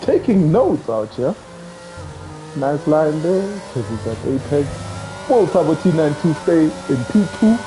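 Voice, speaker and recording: A man giving live commentary on a sim racing stream, chatty and excitable, talking to viewers in the chat from a home mic. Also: a little high, slow, music in the background, low-quality recording. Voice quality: says he has a loud voice.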